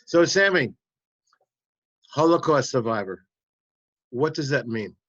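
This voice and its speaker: A man hosting and moderating a video call interview, brisk and organizing. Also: mid-range voice, expressive, a bit bright, dry recording. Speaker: host. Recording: dry dull call audio.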